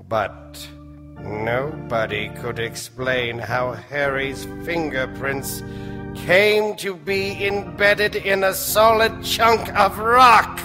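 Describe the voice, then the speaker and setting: A man playing an eerie, slyly macabre doctor who narrates an old-time radio horror serial in a theatrical, drawn-out, ominous way. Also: fairly high pitch. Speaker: narrator and host of a mystery serial. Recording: reverberant stage hall, music behind.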